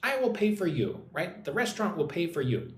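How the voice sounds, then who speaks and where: An American man runs a relaxed English lesson on a livestream, reading out viewer comments and chatting back by name. His voice rises and falls in an animated way. The room has a noticeable echo with a faint hiss underneath. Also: high voice, brisk. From United States. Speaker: English teacher. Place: home in Vietnam.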